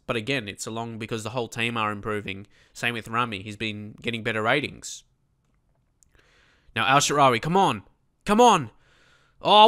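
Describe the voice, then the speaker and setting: A man with a cold and a blocked nose, chatting casually as he commentates his own football management game, breaking into excited shouts at goals. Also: on the high side. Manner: chatty, many fillers, bursts of excitement at goals. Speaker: let's play host.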